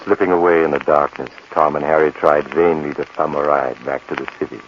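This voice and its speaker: A man hosting and narrating a scripted 1940s radio mystery in an ominous, measured storytelling style. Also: extremely low pitch, steady tone, faint music behind. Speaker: host and narrator. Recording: muffled, noisy vintage radio recording.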